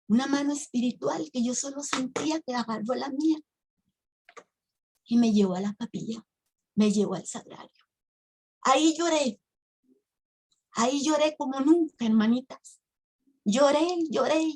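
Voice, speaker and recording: A woman's voice: high-pitched, darkish tone, slightly rough. A woman, telling her religious testimony emotionally to a women's ministry. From Costa Rica. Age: 50s. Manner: emotional storytelling, addresses the audience as sisters.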